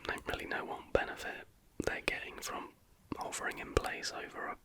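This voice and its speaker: A British ASMR host whispering casual, chatty reaction commentary in a hushed, informal, rambling way. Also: a little fast.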